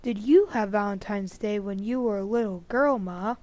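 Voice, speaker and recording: A woman's voice: mid-range voice, normal speed, loud music behind the voice, lightly acted. A woman playing a bossy, know-it-all older boy in an old-time radio family comedy. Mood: superior, matter-of-fact. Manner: superior, matter-of-fact.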